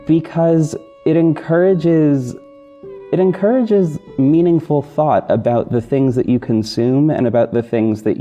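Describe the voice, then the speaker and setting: Male podcast host, very dark relaxed tone, hesitant informal solo delivery, faint guitar bed underneath. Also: on the low side. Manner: hesitant, halting, self-interrupting. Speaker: podcast host, audio drama narrator and producer. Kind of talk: informal behind-the-scenes solo talk to listeners. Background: plucked guitar music.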